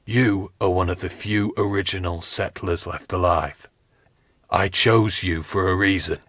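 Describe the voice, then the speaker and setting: A man voicing a wounded, hoarse colonial captain. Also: deep voice, fairly even intonation, unhurried, fairly bright tone, no room sound. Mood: grave. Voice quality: strained, hoarse. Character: gravely injured male colonial captain, commanding.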